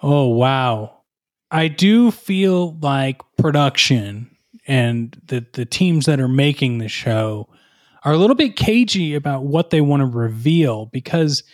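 A man's voice: mid-range voice, lively intonation, slow pace, no echo, studio quality. A man hosting a podcast, interviewing a comedy character in a playful, wry way. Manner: playful, wry, goes along with the bit. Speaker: podcast host.

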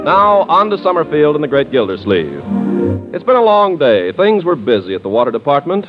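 A man's voice: a little high, sing-song, brisk. A man reading scripted copy as a polished, salesmanlike 1940s radio announcer. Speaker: radio announcer and sponsor spokesman. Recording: muffled 1940s radio recording with music.

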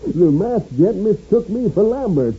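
An actor playing a sly, merciless old swindler with an American accent in a 1950s radio western. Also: male voice, high voice, slightly rough, full character voice. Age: older. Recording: dull, faintly noisy 1950s radio recording.

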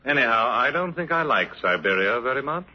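A man's voice: medium pitch, sing-song, a little fast. An actor playing a prim, indignant student newspaperman with a formal, prissy manner in a 1950s radio drama. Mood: prim indignation. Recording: dull 1950s radio recording, music behind.

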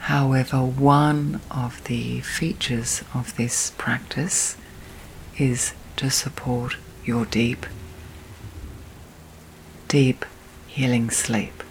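Female meditation guide, hushed and breathy with gravelly fry, soothing and spacious delivery, faint storm and rain in the background. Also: very deep voice, poor audio. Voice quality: soft, breathy, often near whisper. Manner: slow, soothing, long pauses between phrases. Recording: steady storm and rain noise.

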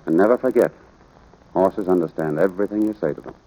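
An actor playing a stern, gruff middle-aged American rancher father, firm and plain-spoken, in 1940s radio drama style. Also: sits low, quick pace, warm tone, poor audio. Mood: earnest, instructive. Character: stern, gruff rancher father instructing his young son. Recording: vintage radio drama recording.

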